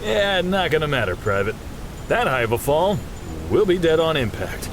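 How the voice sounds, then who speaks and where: An actor playing a brash, battle-hardened army sergeant in an audio drama, swaggering and punchy. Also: male voice, on the high side, sing-song, moderate pace, noisy background. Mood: grim, dry.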